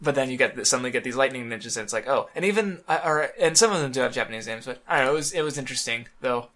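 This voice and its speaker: A man co-hosting a casual podcast, rambling with many restarts and fillers, a bit hoarse. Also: medium pitch, lively intonation, rapid-fire, fairly bright tone. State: throat-clearing, a bit hoarse. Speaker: podcast co-host. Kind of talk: casual chat about manga.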